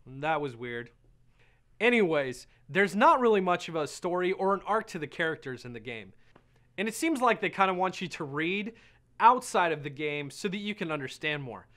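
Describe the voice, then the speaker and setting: A man's voice: fairly high pitch, sing-song, moderate pace, sharp tone. A man narrating a game review in a playful, sarcastic way.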